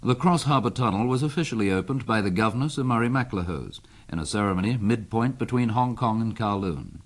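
Male radio newsreader, lower register, scripted narration for a 1970s year-in-review. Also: expressive, fairly quick. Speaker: veteran radio news presenter.

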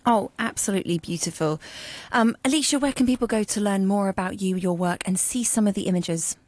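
A woman from Hong Kong hosting a chatty radio interview in an animated, informal way. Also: medium pitch, a little fast, dry recording.

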